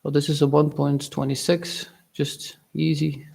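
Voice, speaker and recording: A young man from Belgium, speaking natively, giving casual, halting live-stream commentary on his trades and answering chat. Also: a little high, little pitch movement, creaky, darkish tone. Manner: casual, halting, reads chat aloud with fillers.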